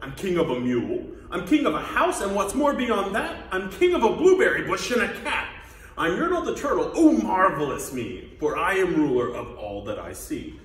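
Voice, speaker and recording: A boastful turtle tyrant from a storybook, performed by a man, loud and imperious. The voice swings widely as it bellows out orders and brags. It is heard in a roomy space, a little away from the mic. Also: high-pitched, very animated, moderate pace, far from the mic. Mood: gloating. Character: pompous, boastful, bossy male turtle king.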